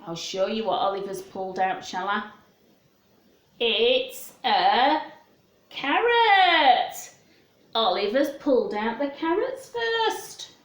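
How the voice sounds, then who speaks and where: A woman storyteller reading expressively to young children, with gently questioning asides, in an echoey room. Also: a little high, slow pace. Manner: animated, gently questioning, addressing small children.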